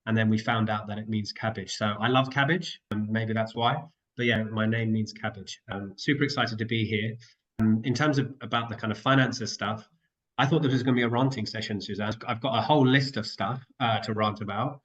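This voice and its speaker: A man's voice: on the low side, steady tone, fairly quick, fairly bright tone, highs cut off. A man with a cold and a nasal voice, chatting casually as a guest on an online panel, rambling and apologising as he goes. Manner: rambling, self-interrupting, apologetic. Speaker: guest carer and co-founder. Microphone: video call.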